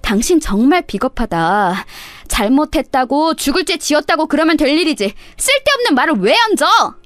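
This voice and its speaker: A woman's voice: high-pitched, big pitch swings, normal speed, no echo, studio quality, pitched above the performer's own voice. A woman voicing a wronged young wife and mother, indignant and confrontational, in a dramatized story. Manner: confrontational, wounded. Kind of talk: dramatized radio story dialogue.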